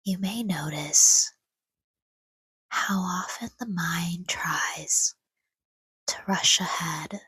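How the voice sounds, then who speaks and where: Very slow, breathy whispering woman. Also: on the low side, no echo, rough recording. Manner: hushed, gentle, soothing, short phrases with long pauses. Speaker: sleep meditation host. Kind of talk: guided sleep meditation, scripted, soft-spoken.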